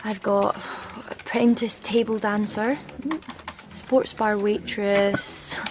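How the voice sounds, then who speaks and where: A woman's voice: mid-range voice, flat delivery, slow, background noise, natural voice. An actress in a radio play playing a shy, unemployed female graduate, hesitant and dryly ironic. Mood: wry.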